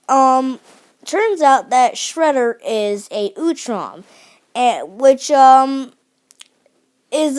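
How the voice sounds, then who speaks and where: A young woman giving an unscripted, enthusiastic review of a cartoon series, often restarting her sentences and filling pauses. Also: on the high side, a little mellow. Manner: enthusiastic, many restarts and fillers.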